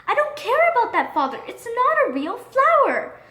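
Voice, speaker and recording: Storybook role: sweet little princess, very high, plaintive and upset played by a woman narrator, roomy echo. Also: very animated, moderate pace, pushed and bright, exaggerated voice. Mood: sad, upset. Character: young princess daughter, sweet, tearful and upset. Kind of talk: children's storybook character voice.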